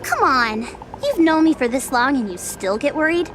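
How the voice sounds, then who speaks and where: A woman playing a playful, affectionate, girlish young companion of gods, lively and coaxing, in an audio drama. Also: sits high, moderate pace, faint music behind. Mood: playful reassurance. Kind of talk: audio drama character acting.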